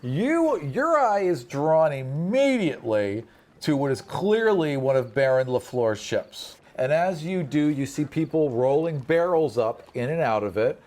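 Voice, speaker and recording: A man's voice: fairly high pitch, big pitch swings, a little slow, a little mellow. A man narrating a tabletop fantasy game as game master, in a conversational, casual way. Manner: conversational, casual, peppered with 'you know' and 'right'.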